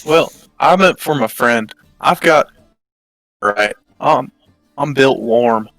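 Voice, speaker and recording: A man's voice: medium pitch, lively intonation, slow pace, a bit bright, no echo, faint music behind. Joking male player, close dry sound. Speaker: tabletop game player. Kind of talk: casual chat, joking.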